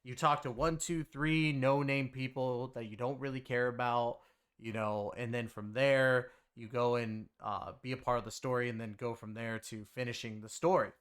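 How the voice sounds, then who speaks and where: A man's voice: mid-range voice, fairly even intonation, normal speed, a bit bright, smooth. A man giving a casual, rambling game story review in a conversational way, leaning on filler phrases. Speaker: game reviewer and content creator.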